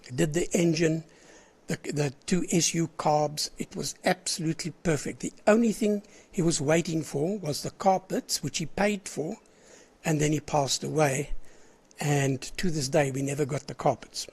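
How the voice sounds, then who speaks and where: Older South African man running a ham radio net, husky cold-roughened voice, a bit high, rambling and clearing his throat. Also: fairly even intonation, normal speed, darkish tone. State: hoarse with a cold. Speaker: net controller of an amateur radio net. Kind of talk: casual on-air net chat.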